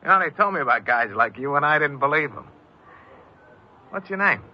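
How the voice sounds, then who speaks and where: An actor playing a chummy, slyly flattering stranger in a 1950s radio drama, talking in ingratiating street slang. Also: male voice, a little high, a little fast. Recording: muffled old radio broadcast.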